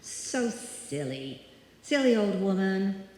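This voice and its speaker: A woman's voice: medium pitch, very animated, takes it slowly, mellow. A woman playing a cool, dismissive small-town spinster in a live old-time radio play, breezy and scoffing. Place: live stage performance in a hall. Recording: very echoey hall, faint noise.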